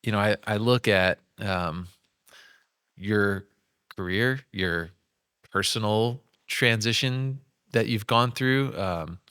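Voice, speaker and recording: A man hosting an interview, asking rambling questions with enthusiasm and quick laughs. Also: fairly low pitch, pushed and bright, clean tone, no echo, studio quality. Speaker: podcast host.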